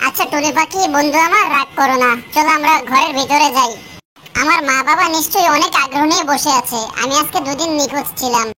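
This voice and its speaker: A woman acts a young mermaid princess from a cartoon fairy tale. The voice is high and bright, fast and plaintive, anxious yet friendly. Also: a little hiss or hum. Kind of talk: children's cartoon story acting.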